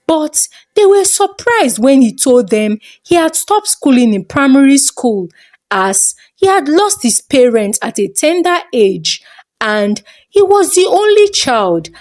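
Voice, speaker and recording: A woman's voice: on the high side, darkish tone. A woman storyteller giving a dramatic scripted narration of a folktale.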